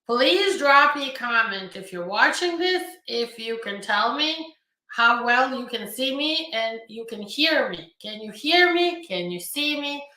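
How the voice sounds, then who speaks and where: A woman's voice: a little high, animated, a little slow, sharp tone. A woman, an immigration lawyer chatting on a live stream and greeting viewers by name, with an upbeat manner and frequent fillers. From Ukraine. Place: home or office room in New York. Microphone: phone. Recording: echoey room on a phone.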